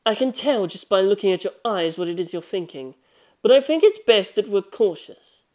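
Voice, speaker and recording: A narrator voicing a cautious, measured man in an audiobook mystery, speaking very deliberately. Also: medium pitch, expressive, a little mellow, pitched above the performer's own voice, natural voice. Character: cautious, deliberate man advising a younger ally.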